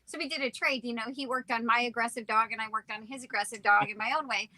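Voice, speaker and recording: A woman's voice: a little high, steady tone, quick pace, bright, forceful tone, no room sound. A woman interview guest telling a personal story in a casual, conversational way.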